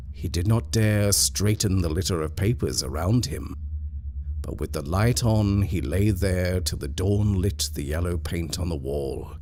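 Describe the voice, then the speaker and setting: Slow, deep-voiced male horror narrator. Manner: measured, hushed, deliberate delivery. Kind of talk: scripted horror story reading.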